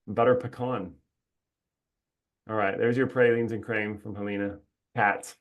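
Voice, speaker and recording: A man's voice: lowish voice, steady tone, measured pace, narrow, tinny audio. A man hosting a webinar in a casual, chatty way, upbeat and rambling, laughing often, heard through a video call mic. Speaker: webinar host.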